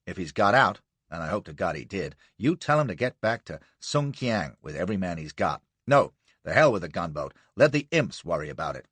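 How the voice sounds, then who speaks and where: Brief: man playing a brusque young Yankee officer, clipped staccato orders, lively delivery, dry studio sound. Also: deep voice, a little fast, husky, dry recording, voice pushed down, exaggerated voice. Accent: American. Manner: staccato, snapping. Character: wounded young American commander, brusque.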